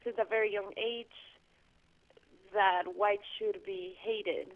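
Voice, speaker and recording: A woman's voice: medium pitch, steady tone. A woman caller from Guatemala, heard through a narrow, tinny telephone line. She talks earnestly, feeling her way through her point with frequent restarts and hesitations. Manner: earnest, halting, restarts often. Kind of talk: call-in radio chat. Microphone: home landline phone. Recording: phone line.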